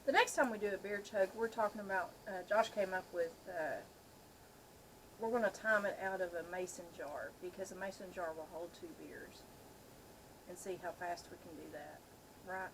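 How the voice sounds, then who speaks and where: A woman's voice: fairly low pitch, moderate pace, slight background noise, low-quality recording. A middle-aged woman chatting casually with a dry, joking manner. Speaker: video host. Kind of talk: casual chat.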